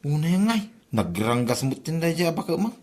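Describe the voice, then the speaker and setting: A man's voice: fairly high pitch, sing-song, moderate pace, darkish tone. A man with an Ilocano accent playing a needling drinking buddy who mocks his pal in a comedy drama podcast. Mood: mocking.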